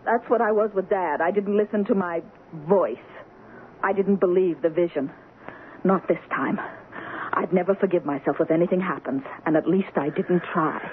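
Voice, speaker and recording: An actress playing a fey, anxious young wife with premonitions in a 1970s radio drama, breathless and urgent. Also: female voice, fairly low pitch, moderate pace, natural voice. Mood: anxious, pleading. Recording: tinny, slightly noisy 1970s radio.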